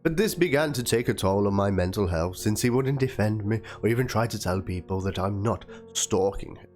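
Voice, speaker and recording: Male reader as aggrieved young woman in a dramatic document read. Low pitch, plaintive, theatrical delivery, guitar music underneath. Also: expressive, brisk, darkish tone, close to an everyday voice. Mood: hurt, pleading. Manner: theatrical, plaintive, spells out chat slang like "lol". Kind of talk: dramatic reading of a written document and chat logs.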